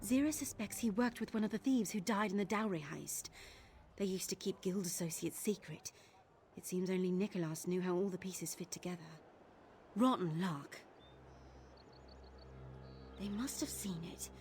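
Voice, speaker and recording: A woman's voice: medium pitch, moderate pace, long pauses, darkish tone, slight background noise, close to an everyday voice. An actress voicing an earnest young woman thief searching for her missing father in a video game, confiding in tone. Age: young adult. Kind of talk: video game character dialogue. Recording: game music behind the voice.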